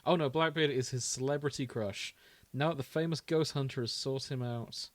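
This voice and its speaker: A man's voice: mid-range voice, moderate pace, no room sound. A British man co-hosting a podcast, chatting casually about fan fiction. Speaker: podcast co-host.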